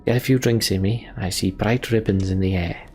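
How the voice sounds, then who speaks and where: A man's voice: on the low side, steady tone, fairly quick, a little mellow, faint music behind, lightly acted. A man voicing a hard-drinking, roguish axe-thrower from a fantasy game, with sardonic, grumbling, colloquial talk. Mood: wry, boastful.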